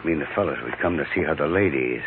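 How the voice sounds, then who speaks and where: An actor playing a rough, earnest miner in a 1950s radio western, blunt and folksy. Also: male voice, extremely low pitch, racing. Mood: grim, earnest. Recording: muffled, noisy old radio broadcast.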